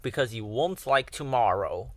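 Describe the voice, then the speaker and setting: Brief: snarling storybook diesel bully, read by a man, mid-pitched, dry sound. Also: lively intonation, normal speed, fairly bright tone, no room sound, lightly acted. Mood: menacing. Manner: growling, hissing, sneering. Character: menacing male diesel engine villain.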